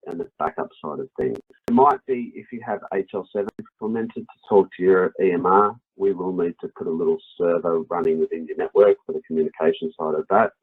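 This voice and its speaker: A man's voice: low voice, breathy edge. An Australian man with an Australian accent presenting a webinar walkthrough in a conversational, explanatory way. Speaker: company product presenter. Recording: dull, lo-fi webinar audio.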